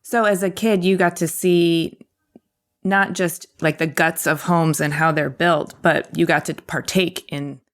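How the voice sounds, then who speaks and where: A woman's voice: fairly low pitch, steady tone, unhurried. A woman hosting a conversational podcast interview, asking questions with enthusiasm and curiosity. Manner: enthusiastic, inquisitive.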